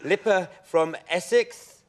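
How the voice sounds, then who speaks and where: A man's voice: high-pitched, takes it slowly. A man hosting a live interview and taking questions from the audience. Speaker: co-host. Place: radio theatre stage before an audience. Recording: live theatre, crowd behind, rough.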